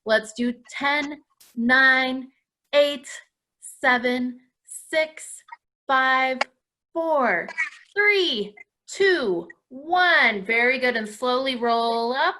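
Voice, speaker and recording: A woman's voice: high-pitched, animated, very slow, long pauses, bright, forceful tone, poor audio. A woman leading a live stretching lesson for viewers at home in an encouraging way, with frequent praise. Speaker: dance class host leading children's stretches.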